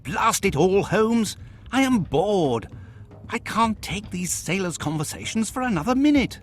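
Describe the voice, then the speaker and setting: A long-suffering gentleman in a game cutscene. The voice is a little high for a man and highly animated. His delivery is formal, polite and earnest. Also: a little slow, faint music behind. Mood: exasperated, pleading. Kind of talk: video game cutscene acting.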